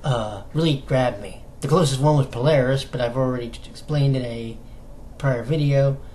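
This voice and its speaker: An American man giving casual fan news commentary as a solo video host, in a halting, conversational manner with rhetorical questions. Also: mid-range voice, mellow. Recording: noticeable background noise.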